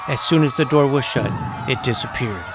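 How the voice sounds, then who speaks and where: A man playing an earnest young hero who tells his own fantasy adventure in measured, literary first-person narration. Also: mid-range voice, very noisy, natural voice. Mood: tense storytelling. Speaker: narrator and lead character. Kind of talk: first-person scripted storytelling, audio drama. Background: music under the voice.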